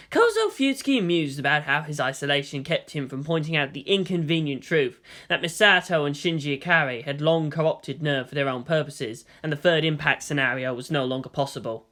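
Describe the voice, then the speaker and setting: A narrator reading a story aloud in an expressive, scripted storytelling style. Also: medium pitch. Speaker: audiobook-style reader of fan fiction.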